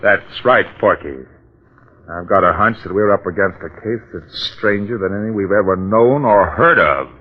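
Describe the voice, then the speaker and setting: A slightly muffled old radio recording of a police detective. He has a fairly low voice and speaks in clipped, commanding phrases, determined and suspicious. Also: animated, moderate pace. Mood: wary, intent. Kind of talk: old-time radio drama acting.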